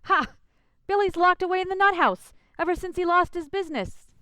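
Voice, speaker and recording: A woman playing a gruff, suspicious landlady in a youth radio drama, brusque and dismissive. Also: very high voice, brisk, pushed and bright, no echo. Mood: hostile.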